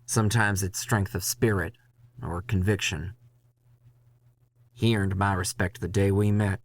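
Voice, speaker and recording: A man reading a dramatic first-person horror story in a measured, intense way, with music behind him. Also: deep voice, fairly even intonation, slight background noise.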